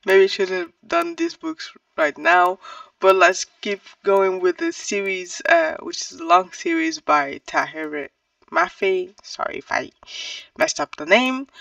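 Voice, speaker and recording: A woman's voice: mid-range voice, sing-song, a little slow, vocal fry, a bit bright, no echo, far from the mic, muffled recording. A woman giving a casual, opinionated book review in an informal, emphatic way.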